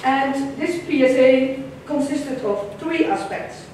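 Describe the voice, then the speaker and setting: A woman with a Dutch accent giving a conference presentation in a large lecture hall, measured and hesitant, with frequent ums and restarts. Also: sits high, darkish tone, a little hiss or hum. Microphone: room camera far from the stage. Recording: echoey lecture hall from far off.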